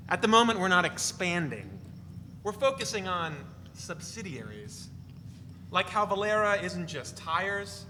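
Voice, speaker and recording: A man's voice: sits high, slight background noise. An actor reading the part of a joking young man who spins tall tales over dinner, recorded live in a reverberant hall. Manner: bright, teasing, rambling banter. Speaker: reader in a staged reading.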